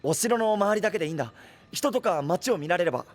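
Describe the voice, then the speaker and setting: A man's voice: high voice, expressive, quick pace. A man playing an earnest, simple-minded young hero in an audio drama, speaking in a blunt, naive, boyish way. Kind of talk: anime-style audio drama acting.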